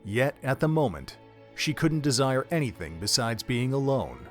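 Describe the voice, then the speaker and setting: A man's voice: mid-range voice, big pitch swings, normal speed, faint music behind. A man narrating a dramatic story from a script, as the narrator of an audio drama.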